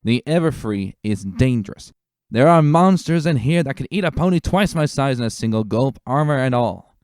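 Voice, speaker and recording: A man voicing a gruff veteran pony lieutenant, commanding and emphatic, in a dramatic story reading. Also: medium pitch, lively intonation, normal speed, a little mellow, no echo, clean studio sound, voice pushed up, close to an everyday voice. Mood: stern, warning.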